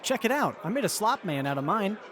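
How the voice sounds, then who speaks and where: A man's voice: high-pitched, very animated, fairly quick. A man playing a childlike, playful young crew member in a radio drama. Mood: playful, whiny. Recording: clear background ambience noise.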